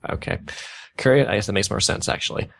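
A man's voice: fairly low pitch, fast, creaky, a bit bright. A man reading fan fiction aloud, stumbling over odd words and breaking off with casual joking comments. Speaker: reader of fan fiction on a gaming video.

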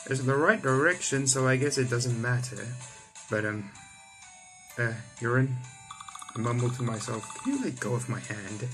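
Young adult male gaming host, mid-pitched, casually reading story text aloud with off-the-cuff remarks, music underneath. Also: moderate pace, a bit bright. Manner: stumbles over text and rereads lines. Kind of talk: reading visual novel aloud with casual commentary. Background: game music.

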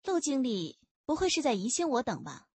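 Proud female gang leader, played by a narrator: formal, poised, subtly sarcastic, higher voice, historical audiobook. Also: big pitch swings, moderate pace, darkish tone, breathy edge, dry recording, heavily acted. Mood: haughty. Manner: formal, courteous with veiled sarcasm.

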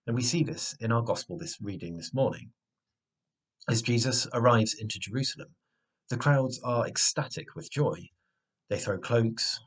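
Husky young Englishman preaching. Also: medium pitch. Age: 20s. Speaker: trainee preacher on placement. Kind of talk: scripted sermon. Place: small room indoors at home. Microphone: webcam at close range.

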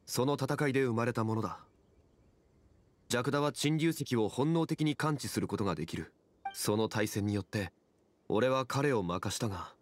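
An actor playing a calm, dignified ancient god in human form in a video game, speaking in a grave, formal, measured manner. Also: male voice, mid-range voice, slight background noise, natural voice. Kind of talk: video game character dialogue.

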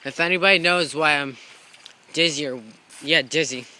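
Bright-voiced young man joking on a phone camera. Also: a little high, moderate pace. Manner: jokey, dramatic exclamations, acting dizzy and wheezy. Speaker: vlogger. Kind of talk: playful homemade vlog, pretending to be in a game. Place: backyard and house, outdoors and indoors. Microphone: handheld phone camera.

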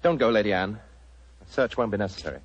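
An actor in a 1940s radio drama playing a poor, nervous mathematician who stammers apologetically. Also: male voice, fairly low pitch, quick pace, lightly acted. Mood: desperate, pleading. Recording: dull vintage radio recording.

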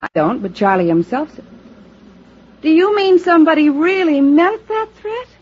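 An actress playing a jaded, sardonic comedienne in a 1950s radio drama, with dry wisecracking delivery. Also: female voice, sits high, sing-song, moderate pace. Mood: bitter, sardonic. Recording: dull, noisy old radio recording.

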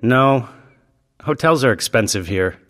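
Man with animated lesson-reading voice. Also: mid-range voice, measured pace. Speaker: dialogue reader. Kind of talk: scripted textbook dialogue reading.

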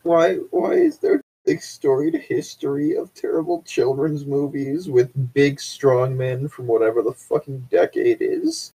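A man with a slightly rough, husky voice talks in loose comedic banter. He sounds exasperated and swears freely as he gives his commentary. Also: medium pitch, big pitch swings, a little slow, few pauses, warm tone, rough recording. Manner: exasperated, profane. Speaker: co-host reading fan fiction aloud.

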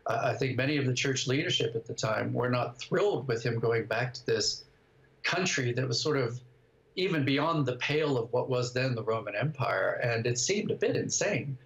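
A man's voice: mid-range voice, lively intonation, normal speed, gravelly, a bit bright. An older man, a guest on a video call, giving thoughtful interview answers. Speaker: guest writer and director. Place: home study indoors, over video call. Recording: dull video-call audio.

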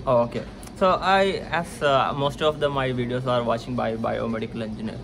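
Young male vlog host, mid-pitched, polite and a little halting, close camera in an indoor lounge. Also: steady tone, gravelly, background noise. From India. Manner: polite, slightly halting questions with fillers. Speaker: vlog host interviewing a colleague. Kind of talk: casual interview questions.

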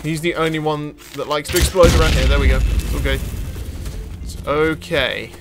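A man's voice: on the high side, steady tone, moderate pace. A man hosting a gaming video with casual, jokey, self-mocking commentary, exclaiming and talking to the characters in the game. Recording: music playing behind the voice. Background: music.

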